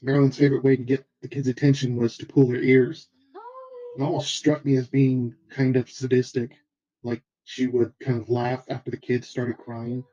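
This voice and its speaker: A man recounting events in a flat, subdued storytelling manner, heard as a played clip. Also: mid-range voice, moderate pace. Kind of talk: recorded voice clip being played. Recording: muffled lo-fi clip.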